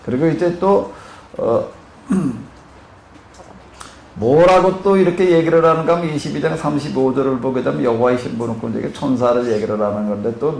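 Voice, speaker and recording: Male pastor, Jeolla accent, rambling lecture style with questions to the audience; gravelly, slightly high voice, echoey room. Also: a little mellow. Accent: Korean Jeolla dialect. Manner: rambling, many fillers, asks the audience questions. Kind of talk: Bible seminar lecture. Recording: echoey room with faint noise.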